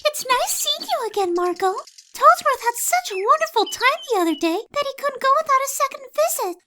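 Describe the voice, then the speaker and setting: A woman's voice: extremely high pitch, very animated, fairly quick, background noise. A woman playing a sweet, bubbly, gracious young princess in a cartoon comic dub, sugary, polite and girlish. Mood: cheerful.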